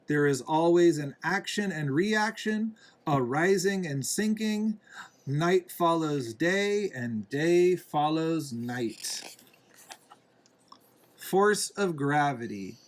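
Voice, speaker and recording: Guy reading a kids book out loud, really slow, pauses between phrases. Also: a little high, lively intonation. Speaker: reader, parent reading to a young child. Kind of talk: read-aloud children's picture book narration.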